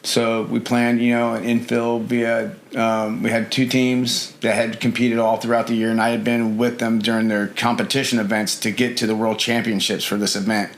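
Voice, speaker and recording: A man's voice: mid-range voice, flat delivery, normal speed, hardly any pauses, slight background noise. A middle-aged man talking as a podcast guest in casual interview chat, rambling with lots of fillers and "you know".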